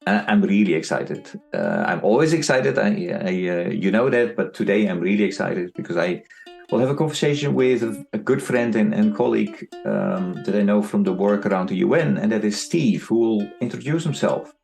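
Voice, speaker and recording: A man's voice: fairly low pitch, expressive, normal speed, creaky, a little mellow, soft background music. A man hosting a podcast, asking eager questions with frequent stammered restarts. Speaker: podcast host. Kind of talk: casual interview.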